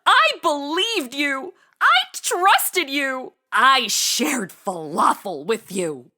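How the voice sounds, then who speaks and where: A woman's voice: high voice, sing-song, drawn-out words, sharp tone, full character voice. An actress playing a perky, naive, melodramatic modern young woman in a comedy audio drama, exclamatory, flippant and full of slang. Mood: betrayed outrage.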